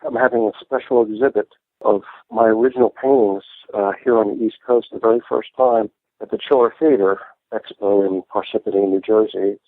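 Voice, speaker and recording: Phone-line American man, lowish voice. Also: fairly even intonation, a little fast, no echo. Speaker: podcast guest, artist. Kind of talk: casual interview by remote call. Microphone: phone or internet call. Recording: thin phone-like call audio.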